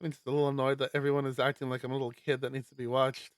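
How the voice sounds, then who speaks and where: A man streaming a live read-aloud of a visual novel, expressive, with stumbles and casual asides. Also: medium pitch, rapid-fire, no room sound. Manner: expressive, stumbles over words while reading.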